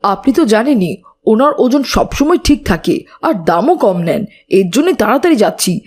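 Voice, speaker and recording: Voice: medium pitch, very animated, moderate pace, a little mellow, husky. A woman voicing a polite, dutiful, earnest schoolboy in a children's cartoon.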